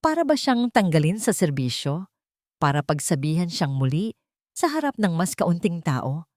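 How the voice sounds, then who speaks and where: Woman, storyteller, lowish pitch, dramatic scripted narration, very dry studio sound. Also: sing-song, moderate pace, darkish tone, dry recording.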